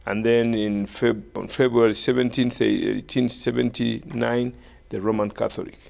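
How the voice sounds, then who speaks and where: A middle-aged man from Uganda with a Ugandan accent, a bishop speaking as a guest in a measured, conversational way. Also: medium pitch, level tone, vocal fry, darkish tone. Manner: measured, frequent short affirmations. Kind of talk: conversational interview, recounting history.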